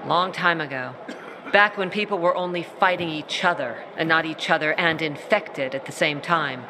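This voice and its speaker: A woman's voice: deep voice, background noise, lightly acted. An actress playing a blunt female military officer who briefs a newcomer in a game cutscene. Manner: blunt, brisk. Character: tough female soldier, blunt and proud. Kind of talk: video game cutscene acting.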